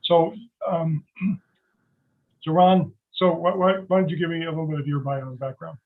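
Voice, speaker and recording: A man's voice: fairly high pitch, flat delivery, normal speed, phone-quality sound. A man interviewing veterans, asking questions casually and restarting his sentences. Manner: casual, repeats words when starting.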